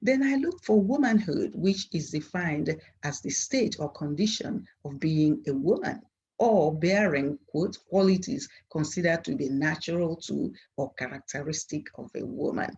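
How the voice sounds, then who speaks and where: A middle-aged woman with a Nigerian accent and Igbo as her mother tongue reads a formal academic presentation in a measured, earnest way. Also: low-pitched, expressive, darkish tone, breathy edge, muffled recording. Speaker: university professor presenting at a conference. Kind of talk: formal scripted academic lecture.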